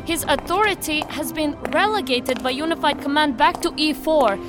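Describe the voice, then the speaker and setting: Female federal field agent, high-pitched, earnest and formal, sci-fi audio drama with prominent music underneath. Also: animated, normal speed. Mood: urgent, formal. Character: earnest, dutiful female field agent, reluctant former commander. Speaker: actor in a science fiction audio drama.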